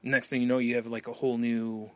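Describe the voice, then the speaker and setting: A man's voice: medium pitch, level tone, quick pace, darkish tone, no room sound. A man co-hosting a podcast in casual, slangy comedic chat, riffing with little acted-out quotes. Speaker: podcast co-host.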